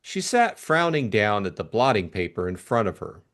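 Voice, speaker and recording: A man narrating a classic novel as an expressive audiobook reader. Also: fairly low pitch, normal speed.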